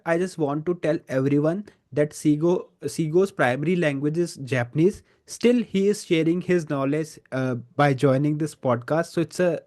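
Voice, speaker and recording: A man's voice: on the high side, a little mellow. A man hosting a podcast interview, asking questions politely and thanking his guest often. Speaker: podcast host.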